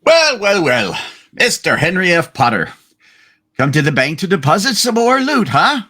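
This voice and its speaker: Man doing boisterous teasing uncle, jolly, animated pitch, bright tone, hammy radio play. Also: normal speed, slightly rough, heavily acted. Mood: gleeful taunting. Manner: taunting, boisterous. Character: jolly, teasing, absent-minded uncle gloating over an enemy. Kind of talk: hammed-up radio play reading.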